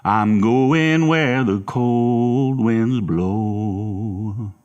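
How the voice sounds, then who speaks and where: A man playing a middle-aged father in a horror radio drama, soothing and quietly manipulative. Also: lowish voice, clear, resonant tone, muffled recording, faint music behind. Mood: tender, singing softly. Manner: soothing, slow, coaxing.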